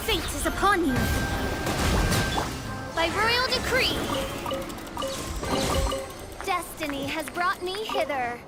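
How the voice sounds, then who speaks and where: A grand young royal heroine from a video game. She declaims loftily in a very high, sweeping voice at an unhurried pace, over loud music. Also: expressive, exaggerated voice. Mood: imperious, grand. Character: regal, theatrical young female heroine speaking in lofty archaic decrees.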